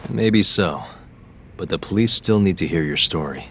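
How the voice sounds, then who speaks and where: An actor playing a calm, persistent private detective in a video game, speaking in a measured, matter-of-fact, probing way. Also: male voice, deep voice, a little hiss or hum. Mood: thoughtful, persistent. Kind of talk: English video game dub acting.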